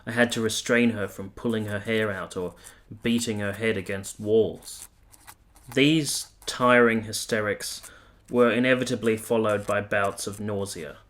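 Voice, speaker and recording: An actor playing an earnest, articulate army captain who has been to war, in a radio play. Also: male voice, lowish voice, a bit bright. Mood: solemn, reflective. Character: earnest, candid young army captain, reflective war veteran. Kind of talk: radio play narration and dialogue.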